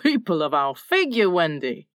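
A woman's voice: fairly low pitch, big pitch swings, moderate pace, no echo, clean studio sound. A woman voicing a naive, playful boy who pretends to be a father and is uneasy about it, in a storybook. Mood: playful, mock scandalized. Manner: mock-grown-up, puzzled.

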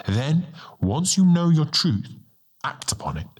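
A man voicing a serene, ancient male star guardian, a measured and lightly amused mentor in an audiobook. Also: a little high, big pitch swings, a little mellow, husky, pitched above the performer's own voice, full character voice. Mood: teasing, frank. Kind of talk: audiobook character dialogue. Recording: music bed under the voice.

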